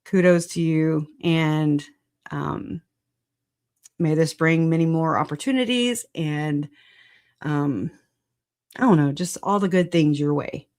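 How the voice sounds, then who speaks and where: A livestream host with a raspy voice, hoarse after a recent illness, chatting casually and laughing a lot at themselves. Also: mid-range voice, slow, pauses often, darkish tone. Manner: laughs often, self-deprecating, chatty. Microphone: computer mic on streaming software.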